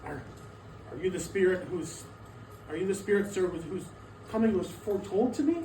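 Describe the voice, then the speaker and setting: A man's voice: high-pitched, moderate pace, dark tone, a little hiss or hum, natural voice. A man playing a nervous, grumbling old miser in a theatrical reading, with a stammering, hesitant delivery. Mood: timid, bewildered. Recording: distant echoey room, music behind.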